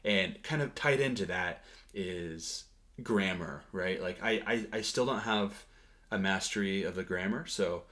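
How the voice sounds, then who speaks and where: A man's voice: low-pitched, measured pace, fairly bright tone. A man giving a casual vlog update on his language learning, talking hesitantly with frequent fillers and restarts.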